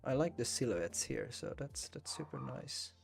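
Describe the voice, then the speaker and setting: A man's voice: mid-range voice, animated, normal speed, gravelly, faint music behind. A man from Finland with a Finnish accent, hosting a casual photography livestream and thinking aloud with hesitations and repeated words. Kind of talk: casual chat while editing photos.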